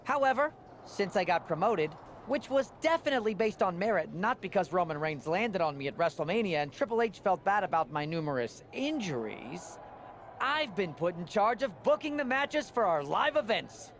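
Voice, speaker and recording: A man's voice: sits high, sing-song, moderate pace, a bit bright, slight background noise, full character voice. A man playing an eager, self-important event booker with a chirpy salesman's manner in a video game cut-scene.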